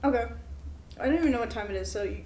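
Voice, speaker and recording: A woman's voice: on the high side, expressive, rapid-fire, darkish tone. A young woman co-hosting a podcast in casual, giggly, informal conversation with plenty of swearing. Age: young adult. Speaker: podcast co-host. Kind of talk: casual unscripted chat between friends.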